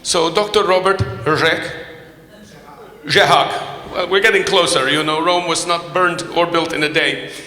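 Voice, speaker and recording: A man whose first language is Polish, moderating a panel in English, formal and courteous, halting with many fillers and restarts. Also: on the high side, steady tone, bright, forceful tone. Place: large conference hall with podium. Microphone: microphone. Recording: echoey hall with long reverb.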